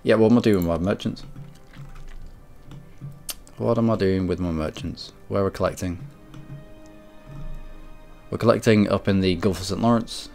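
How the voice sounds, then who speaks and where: A man with a head cold streaming a strategy game, rambling and correcting himself, often clearing his throat. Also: low voice, moderate pace, long pauses, darkish tone, soft background music. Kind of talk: casual game commentary.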